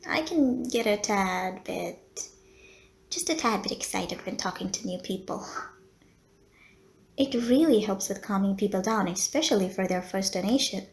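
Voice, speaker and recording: A woman's voice: mid-range voice, lively intonation, measured pace, creaky, echoey room. A woman playing a polite, teasing female vampire who runs a blood bank, in an audio roleplay spoken to the listener. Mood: playful, flirty. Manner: genteel, courteous, teasing. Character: polite, flirty female vampire running a blood bank, playful and long-suffering. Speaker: medical center receptionist.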